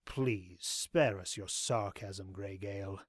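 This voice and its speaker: A man's voice: low-pitched, slow, no echo, clean studio sound. A man playing a zealous, courteous pony cult leader in an audio drama, speaking in a formal, grandiose way. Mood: resolute, commanding.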